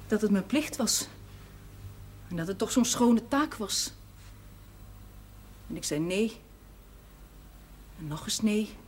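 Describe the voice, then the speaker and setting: A woman's voice: mid-range voice, animated, slow pace, long pauses, darkish tone. Tired housekeeper lady, plain spoken and resigned, old tv drama with some noise behind. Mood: subdued, regretful. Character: self-sacrificing priest's housekeeper, his sister, weary and resigned. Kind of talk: naturalistic television drama acting. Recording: noisy old television soundtrack.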